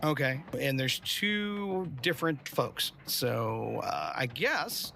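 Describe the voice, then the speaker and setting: A man's voice: mid-range voice, sing-song, slow pace, gravelly, pushed and bright. A man chatting casually as a player in a tabletop roleplaying game.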